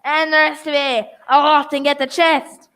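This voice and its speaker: A woman playing a fierce old male pirate in a radio play, shouting commands and pleas in a declamatory way. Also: sits high, flat delivery, moderate pace, close to an everyday voice. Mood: fierce, bullying. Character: old pirate Pew, male, bullying then pleading. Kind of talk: youth stage radio play acting. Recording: very noisy live play recording.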